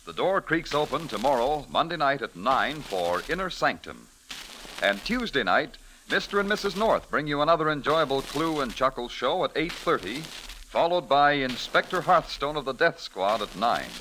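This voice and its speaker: Male American announcer, forceful and animated, 1940s broadcast style on a vintage recording. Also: mid-range voice, slight background noise, poor audio. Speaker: radio announcer. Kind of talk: 1940s radio announcing, scripted.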